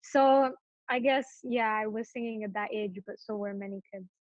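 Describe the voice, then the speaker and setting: Young woman guest, from Morocco, halting and filler-heavy chat, slightly high voice, muffled close recording. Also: slight background noise. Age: young adult. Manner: hesitant, many fillers and restarts. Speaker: interview guest. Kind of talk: casual interview chat.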